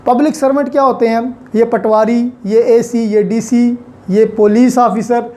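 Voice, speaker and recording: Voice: medium pitch, fairly even intonation, a little slow, darkish tone. A law lecturer with a Pakistani accent explains in a didactic way, repeating key points and mixing in English legal terms.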